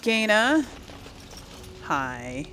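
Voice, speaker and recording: A woman plays through a fantasy game and talks it over casually. She takes her time, musing and playful, with an animated mid-range voice. Faint game ambience and birds are heard behind her. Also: medium pitch, drawn-out words. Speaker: gaming video host. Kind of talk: casual role-play game commentary, reading dialogue aloud. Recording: faint background noise.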